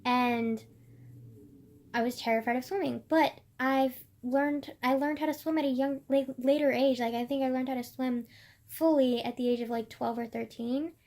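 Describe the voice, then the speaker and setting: Soft-voiced young woman, high and rambling. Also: steady tone, moderate pace. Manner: rambling, many "like" fillers, hesitant. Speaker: vlogger. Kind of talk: personal reflective vlog monologue.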